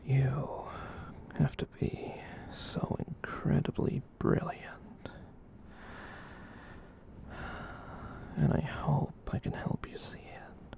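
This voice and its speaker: A performer voicing a gentle, soft-hearted giant catboy in a breathy whispered ASMR comfort roleplay, tender and hesitant as they ramble. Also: mid-range voice, completely flat delivery, takes it slowly. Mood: soothing, affectionate.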